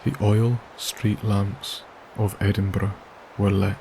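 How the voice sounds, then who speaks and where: A man softly narrating a bedtime sleep story in a slow, soothing, gentle manner with long pauses. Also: fairly low pitch, little pitch movement, a little hiss or hum, poor audio. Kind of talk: soft-spoken ASMR sleep story narration. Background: wilderness ambience.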